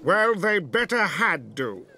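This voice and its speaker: Disdainful imperial brother, pompous, scolding, high sharp voice, slow delivery, game villain, faint noise behind. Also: male voice, big pitch swings, heavily acted. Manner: pompous, deliberate menace.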